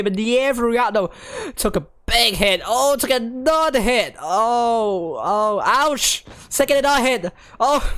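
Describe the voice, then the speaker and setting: An esports caster calling a live match, hoarse and losing their voice, getting excited and shouting during fights. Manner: excitable, rising to high exclamations during fights; rapid name-listing with frequent fillers and restarts. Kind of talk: live play-by-play commentary.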